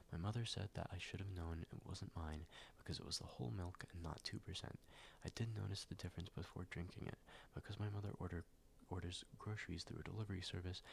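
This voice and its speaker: Very deep, gravelly soft-spoken man. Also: a little hiss or hum. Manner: soft, slow, many ums and likes, drifting into whispers. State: stuffed up, slightly nasal after a cold. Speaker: ASMR YouTuber. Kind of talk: soft-spoken ASMR reading.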